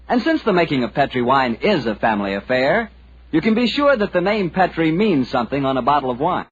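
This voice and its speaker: Brief: male American radio announcer from the 1940s, salesman-like, fairly high and lively, on a dull old recording. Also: slight background noise.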